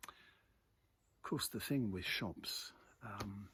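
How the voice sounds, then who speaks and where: A British man with a lowish voice talks informally, like a vlogger sharing the morning news. His delivery is reflective and halting, with pauses between thoughts. There is some ambient noise behind him. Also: a bit bright. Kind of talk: casual chat, off-the-cuff commentary on the news. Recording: clearly noisy ambient background.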